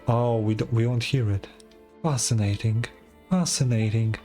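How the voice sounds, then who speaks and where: Man, game streamer, casual commentary, medium pitch, faint background music. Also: measured pace, dark tone.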